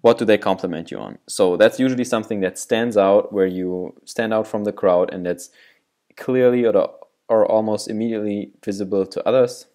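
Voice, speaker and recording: A man's voice: lowish voice, normal speed, mellow. A young man whose first language is German, explaining a self-reflection exercise in a loose, rambling way with restarts. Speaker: video creator giving self-improvement advice. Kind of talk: casual explainer talking to camera.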